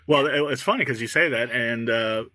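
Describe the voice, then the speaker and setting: A man's voice: medium pitch, moderate pace, sharp tone, dry recording. A man hosting a comedy show, chatting in a casual, joking, talkative way. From born in Brooklyn, moved at three. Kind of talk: casual bantering interview.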